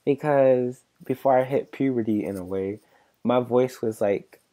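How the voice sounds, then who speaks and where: A soft-spoken man in his mid-twenties chats loosely as a podcast host, his tone mellow and dark. He stops and restarts often and keeps leaning on filler words. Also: medium pitch. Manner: halting, filler-heavy, many restarts and likes. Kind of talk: rambling casual chat.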